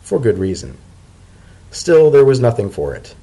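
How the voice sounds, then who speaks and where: A man's voice: medium pitch, sing-song, normal speed, dark tone, husky, low-quality recording. A man narrating a scripted story as a dramatic reading.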